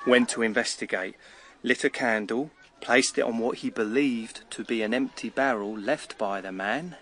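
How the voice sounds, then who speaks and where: A man's voice: mid-range voice, moderate pace, fairly bright tone, natural voice. An actor playing a talkative, matter-of-fact watchman in a radio drama, telling things in a plain-spoken way. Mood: eager storytelling. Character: matter-of-fact, talkative working man, a night-and-day watchman.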